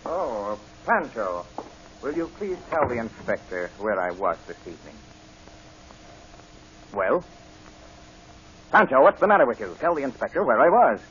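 Animated, mocking radio villain. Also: male voice, medium pitch, a little slow, pauses often. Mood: alarmed. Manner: mocking, theatrical. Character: smug, cold-blooded male murderer, suavely mocking gentleman villain. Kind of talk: 1940s radio drama acting. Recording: noisy, muffled old radio broadcast.

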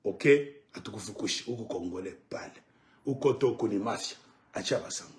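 A man's voice: on the low side, normal speed. A middle-aged man, delivering an impassioned, emphatic political monologue to camera at home. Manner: emphatic, animated, sweeping arm gestures. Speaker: political commentator. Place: living room at home, indoors.

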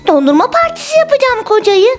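A woman's voice: extremely high pitch, sing-song, fast, pitched above the performer's own voice. A woman voicing an eager, bossy little girl in a children's doll story. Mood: insistent, excited. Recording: music bed under the voice.

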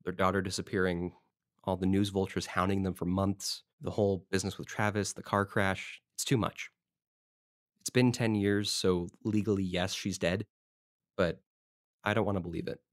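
A man's voice: sits low, flat delivery, moderate pace, dry recording, studio quality, close to an everyday voice. An actor playing a friendly, talkative small-town man with a wistful side, in a fiction podcast. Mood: wistful, subdued. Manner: talkative, casual, folksy. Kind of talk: conversational audio drama acting.

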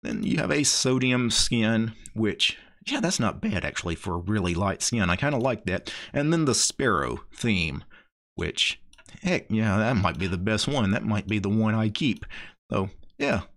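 A middle-aged man hosting a casual software walkthrough, thinking aloud in a conversational, rambling way. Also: on the low side, very animated, measured pace. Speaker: tech video host. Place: small indoor home studio.

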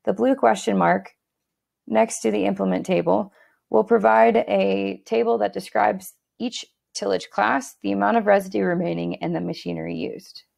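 A woman narrating a screen-recorded software walkthrough in a steady instructional tone, with a few fillers and restarts. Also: medium pitch, normal speed, vocal fry.

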